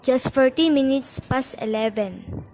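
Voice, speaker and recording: A woman's voice: high voice, unhurried, a little mellow, slight background noise. A woman playing a polite, unruffled hospital receptionist in a radio play, formal and detached.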